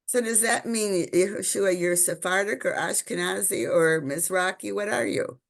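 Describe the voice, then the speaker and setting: A woman's voice: mid-range voice, normal speed, vocal fry. A woman from Illinois, hosting an interview and asking probing conversational questions. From Illinois, United States. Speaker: podcast host.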